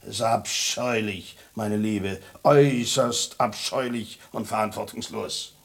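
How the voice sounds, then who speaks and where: A man's voice: lowish voice, fairly even intonation, unhurried, creaky. A man playing a scornful, proud lone tomcat in a radio drama, speaking in a haughty, sardonic, mock-formal way. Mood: accusing.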